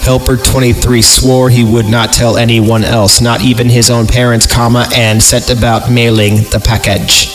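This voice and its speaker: A man's voice: mid-range voice, level tone, moderate pace, hardly any pauses. An American man hosting a podcast, reading a story aloud in a mock-dramatic style with irreverent, joking asides. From Fresno, California. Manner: irreverent, jokey. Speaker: podcast host reading horror stories aloud. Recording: music bed behind the voice. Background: music.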